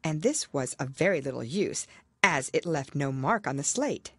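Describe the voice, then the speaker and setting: Female audiobook narrator: lowish, animated storybook delivery, very dry sound. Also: moderate pace, breathy edge, dry recording. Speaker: audiobook reader. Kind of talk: expressive scripted storybook narration.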